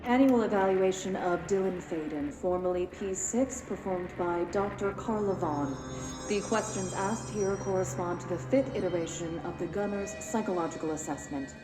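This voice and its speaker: A woman playing an impassive doctor in a game, reading formal psychological assessment questions in a measured way. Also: lowish voice, close to an everyday voice. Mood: clinical, measured. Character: detached, clinical woman doctor running psychological evaluation. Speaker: psychological examiner. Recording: echoey room, music behind.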